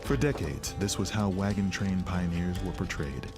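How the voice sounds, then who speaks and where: Male narrator, low-pitched, scripted history documentary read over clear guitar music. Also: normal speed. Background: plucked guitar music.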